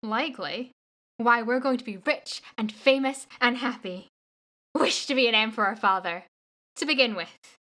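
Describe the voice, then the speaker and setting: An actress plays a teasing young daughter in a radio drama. The voice is high and bright, with a pert, playful manner and plenty of cheek. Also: female voice, normal speed. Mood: playful, eager. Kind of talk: radio play acting.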